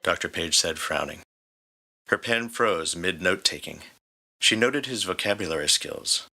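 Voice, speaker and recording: A man's voice: low-pitched, expressive, normal speed, bright, forceful tone. A man reading scripted story narration as the narrator. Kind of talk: scripted fan fiction narration.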